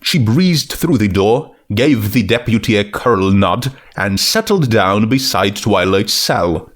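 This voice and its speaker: A man's voice: fairly low pitch, expressive, slow pace. A man reading a piece of comic fiction aloud as its narrator, in scripted storytelling style.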